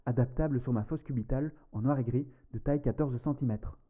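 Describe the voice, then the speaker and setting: A man's voice: mid-range voice, fast talker, a little hiss or hum. A man voicing a polite, formal male client writing to request work, through a phone-like filter, in a comic video. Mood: stiff, courteous. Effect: phone or radio filter.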